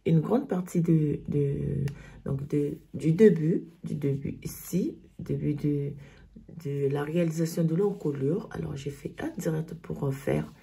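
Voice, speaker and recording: Hoarse woman teaching knitting slowly. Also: low-pitched, warm tone. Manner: slow, step-by-step instructions. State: hoarse with a cold. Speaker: knitting tutorial host. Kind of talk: knitting tutorial demonstration.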